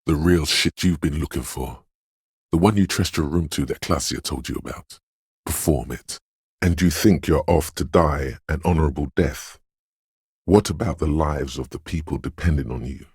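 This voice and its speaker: A man playing a brooding, ominous inner voice of intuition in a video game, with a very deep, husky, gravelly voice and a slow, solemn, cryptic delivery. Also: a little mellow, no echo, clean studio sound, exaggerated voice. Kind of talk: dramatic game narration.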